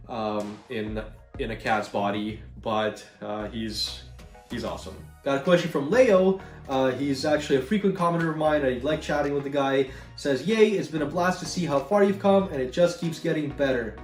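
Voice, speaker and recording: A young man from Ukraine with a Canadian accent and a slight Slavic tinge, chatting casually as he answers viewer questions with lots of fillers. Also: medium pitch. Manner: relaxed and chatty, many fillers. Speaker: gaming YouTuber. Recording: roomy echo, a bit far from mic, faint music.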